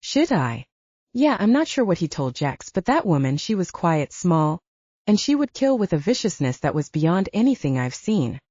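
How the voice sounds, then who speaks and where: A woman's voice: on the low side, big pitch swings, normal speed, a little mellow, no room sound, dull audio. A woman voicing a jaded young male mercenary who talks bluntly and swears offhandedly.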